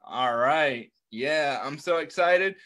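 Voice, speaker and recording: A man's voice: on the high side, slow, fairly bright tone. A man in his thirties talking to young children as a story time host, in an encouraging, simple and repetitive way on a video call. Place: small furnished room at home, indoors. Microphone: computer mic on a video call.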